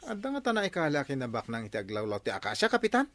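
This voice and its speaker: A man's voice: mid-range voice, very animated, very fast, fairly bright tone, dry recording. A man with an Ilocano accent playing a doubtful but agreeable village farmer in a Filipino comedy radio drama.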